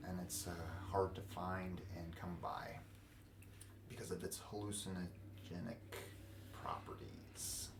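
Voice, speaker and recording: Male game master, slow delivery, roomy echo. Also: deep voice, background noise.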